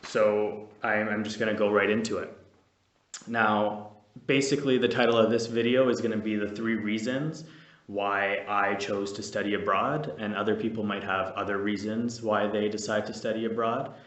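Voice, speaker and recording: A man's voice: on the low side, level tone, normal speed, a bit bright. A young man, vlogging casually and a bit nervously, rambling with many fillers and restarts. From Canada. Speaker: vlogger, veterinary student. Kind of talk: casual to-camera vlog. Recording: echoey room, distant mic.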